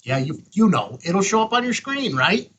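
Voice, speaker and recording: Man with a bright, slightly raspy voice. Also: sits high, sing-song, moderate pace. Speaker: host of a ham radio review show. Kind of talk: casual hands-on product review to camera.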